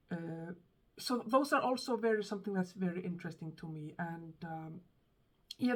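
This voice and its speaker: A woman from Iceland speaks English with an Icelandic accent, talking casually to the camera. She is thoughtful and hesitant, with many ums and false starts as she searches for her words. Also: medium pitch. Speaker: book vlogger.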